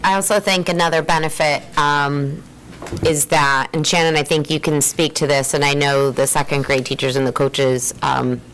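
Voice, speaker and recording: A woman explaining a new approach in a measured way at a school board meeting. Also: sits low, steady tone, fairly bright tone, slight background noise, poor audio. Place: meeting room.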